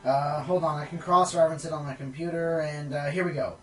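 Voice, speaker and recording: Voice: mid-range voice, steady tone, fairly quick, soft background music, close to an everyday voice. An actor playing a clumsy, easygoing new pony assistant in a radio play, hesitant and scatterbrained. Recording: echoey small room.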